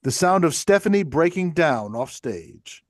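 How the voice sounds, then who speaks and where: A man's voice: fairly high pitch, very animated, unhurried, dry recording, clean studio sound. A man reading stage directions as scripted narration at a table read.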